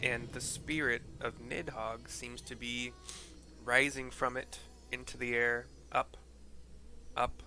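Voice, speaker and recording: A man streaming a game, describing the scenes on screen in an informal, halting, descriptive play-by-play. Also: medium pitch, little pitch movement, sharp tone. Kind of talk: casual play-by-play describing cutscene visuals. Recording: clear game noise behind voice.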